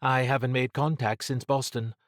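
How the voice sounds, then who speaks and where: A man's voice: mid-range voice, little pitch movement, normal speed, no echo, voice pushed up, close to an everyday voice. A male narrator plays a wary woman secret agent from a noir audiobook. The voice is lifted above his natural register, clipped and wry in its understatement. The recording is dry and close. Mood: uneasy, confiding. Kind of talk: audiobook character dialogue.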